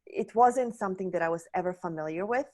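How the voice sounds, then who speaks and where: Woman from Poland, lowish voice, candid guest, searching and full of restarts. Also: fast, a little mellow. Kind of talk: reflective personal story.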